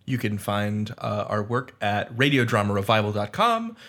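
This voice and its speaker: A man's voice: lowish voice, sing-song, normal speed, a bit bright. A man hosting a podcast, speaking in a casual but composed way with a wry, sardonic edge. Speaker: podcast host. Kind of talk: panel talk and casual scripted host narration.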